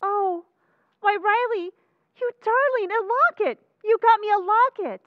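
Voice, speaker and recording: An actress playing a busy, sharp-tongued but affectionate working-class housewife, wry and expressive, in an old-time radio comedy. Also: female voice, very high-pitched, unhurried, highs cut off, noisy background. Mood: delighted.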